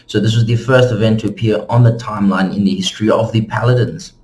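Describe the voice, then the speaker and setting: A man's voice: fairly low pitch, fairly even intonation, fast talker, darkish tone, low-quality recording. A man hosting an informal explainer, talking casually and conversationally to his viewers as he narrates. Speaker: host of a game lore channel.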